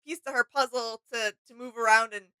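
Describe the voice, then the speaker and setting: A woman's voice: a little high, fairly even intonation, a little fast, sharp tone, smooth. A woman in her twenties co-hosting a reaction show, chatting in an informal, agreeable way. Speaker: co-host of a reaction channel. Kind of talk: casual reaction chat. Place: small home studio room, indoors.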